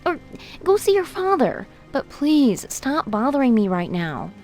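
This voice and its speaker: A woman playing a busy, irritated mother in a video game, brisk, firm and dismissive. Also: high-pitched, big pitch swings, darkish tone, soft background music, heavily acted. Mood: exasperated. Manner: exasperated, firm. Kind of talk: video game cutscene voice acting with tutorial instructions.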